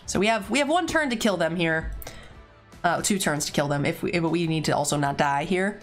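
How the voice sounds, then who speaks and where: A woman streaming herself playing a card game, her voice slightly raspy from losing it. She chats loosely with viewers and answers their questions between moves, over faint music underneath. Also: lowish voice, lively intonation, a little fast. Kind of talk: casual live-stream chat while playing a card game.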